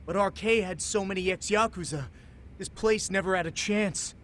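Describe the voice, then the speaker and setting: An actor playing a hesitant, impressed informant in a video game, talking casually. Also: male voice, high voice, normal speed, fairly bright tone, slight background noise. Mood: awed. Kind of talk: naturalistic video game cutscene acting.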